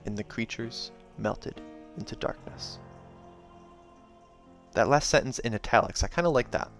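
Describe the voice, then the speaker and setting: A man with a mid-pitched voice reads fiction aloud as the host of his own series. His delivery is expressive and dramatic, and music plays faintly behind him. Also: unhurried. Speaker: host reading a novel aloud. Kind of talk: dramatic audiobook narration with casual asides. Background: soundtrack music.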